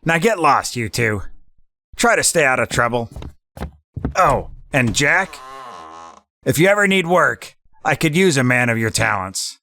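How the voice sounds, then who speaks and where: A man playing a hard-boiled, commanding crime boss with a gravelly voice in a noir comedy audio drama. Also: mid-range voice, expressive, a little slow, long pauses, bright, forceful tone, exaggerated voice. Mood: gruff, approving.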